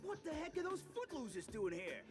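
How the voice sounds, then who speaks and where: An actor voicing a tough, hot-headed young male mutant turtle who talks gruffly in street slang. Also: very high voice, very animated, fairly quick. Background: game music.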